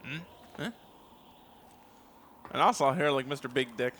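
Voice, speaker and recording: A man's voice: medium pitch, moderate pace, background noise. A man doing casual let's play commentary over a game, making crude, sarcastic jokes.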